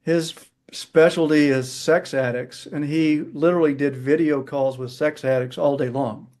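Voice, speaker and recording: A man's voice: fairly high pitch, fairly even intonation, a little slow, a little mellow. A man chatting casually as a participant in an online group call.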